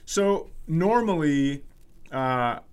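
A man's voice: on the high side, lively intonation, extremely slow, smooth. A man co-hosting a podcast, chatting casually and making crude jokes in a dry way. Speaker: podcast co-host. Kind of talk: casual comedic banter.

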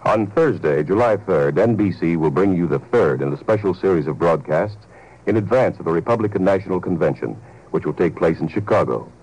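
A man's voice: low voice, lively intonation, fairly quick, darkish tone, breathy edge, poor audio. A man reading a scripted network promo in formal 1950s radio announcing style. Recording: vintage radio recording.

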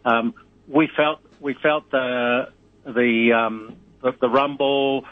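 A middle-aged man with a New Zealand accent, a radio host calling in as a guest, talking in a rambling, halting way with many fillers. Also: mid-range voice, fairly even intonation. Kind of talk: casual radio chat. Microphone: phone line. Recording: tinny phone line.

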